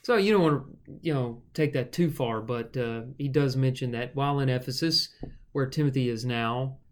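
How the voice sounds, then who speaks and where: A man hosting a podcast, talking through a Bible passage in a relaxed, explanatory way with a co-host. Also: medium pitch, normal speed. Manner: relaxed and explanatory, with frequent fillers. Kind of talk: conversational Bible teaching.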